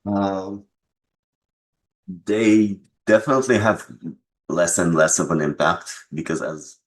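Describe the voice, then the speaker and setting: Very deep-voiced man explaining casually. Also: rough recording. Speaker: game developer being interviewed. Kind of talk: casual interview.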